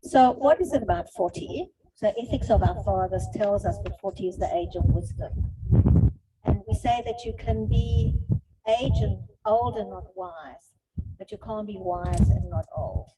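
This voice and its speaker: A woman's voice: mid-range voice, unhurried, mellow. A middle-aged woman giving an informal, reflective talk as a guest speaker, explaining things directly to her listeners. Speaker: guest speaker on relationships and life stages. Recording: noisy, dull lo-fi sound with clicks.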